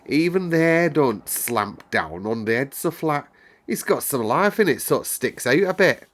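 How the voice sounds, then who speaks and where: A man voicing a warm, blunt young housemaid from a storybook, in broad, teasing rustic dialect. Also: on the high side, very animated, measured pace, pitched above the performer's own voice. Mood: teasing, admiring. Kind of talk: storybook character reading.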